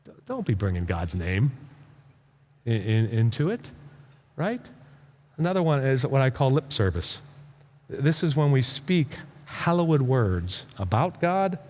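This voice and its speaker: Male pastor for a casual, emphatic sermon; very varied pitch, stop-and-start phrasing, echoing auditorium sound. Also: sing-song, a little mellow. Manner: informal, halting, repeats words for emphasis. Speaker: pastor preaching. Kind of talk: conversational sermon to a congregation. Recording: reverberant hall sound.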